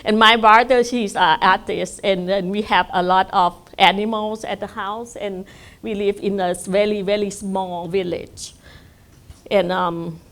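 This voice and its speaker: A middle-aged woman from northeastern Thailand with a Thai accent, giving an informal presentation to an audience in a halting way, with many fillers. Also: medium pitch, steady tone, fairly bright tone. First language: Thai (Isan dialect). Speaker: guest speaker. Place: community center event room.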